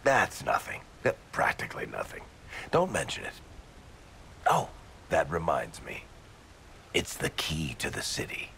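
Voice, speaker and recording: An actor playing a teasing, offhand dwarf storyteller in a video game. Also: male voice, a bass voice, wildly swooping pitch, measured pace, creaky, pauses often, sharp tone, slight background noise, heavily acted. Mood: wry. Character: wry, easygoing male dwarf storyteller.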